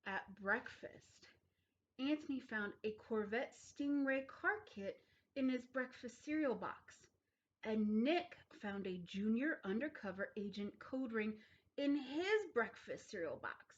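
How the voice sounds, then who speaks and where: High, animated woman reading a storybook. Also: a little slow, muffled recording. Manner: dramatic emphasis on key words. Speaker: storybook reader. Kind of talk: expressive children's read-aloud.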